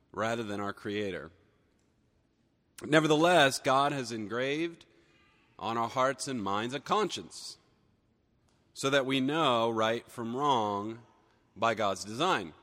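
A man preaching a sermon, a little hoarse from a cold, earnest and building emphasis on key phrases. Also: mid-range voice, fairly bright tone, long reverb tail. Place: church sanctuary.

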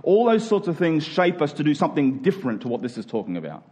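A man's voice: fairly high pitch, very animated, fast, mellow. A man with an Australian accent preaching a conversational sermon, explaining step by step and leaning on key phrases. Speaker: pastor.

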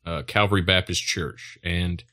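Man, low-pitched forceful voice, podcast co-host, casual joking chat, irreverent. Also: fairly even intonation, unhurried.

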